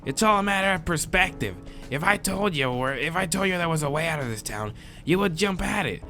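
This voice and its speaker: A laid-back moth monster with a high-pitched surfer drawl, acted out by a man. He is slangy and friendly, a philosophical game character. Soft music plays behind him. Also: expressive, fairly quick. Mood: laid-back, animated. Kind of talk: visual novel character read aloud.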